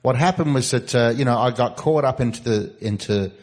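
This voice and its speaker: A middle-aged man from Australia preaching as a guest, talking in short chatty bits with fillers and pausing for an interpreter. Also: medium pitch, a little mellow. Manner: chatty, halting with fillers, pauses for translation. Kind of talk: sermon with interpreter.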